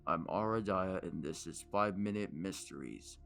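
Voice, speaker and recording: A man with a deep voice announces a radio mystery program in a scripted style. His tone has a heavy creak, and music plays behind him. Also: normal speed, fairly bright tone.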